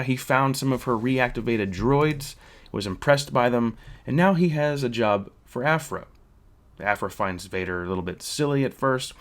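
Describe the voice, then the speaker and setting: A man hosting a fan review channel, explaining a story from his notes with casual asides. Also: medium pitch. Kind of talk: spoiler story recap from notes.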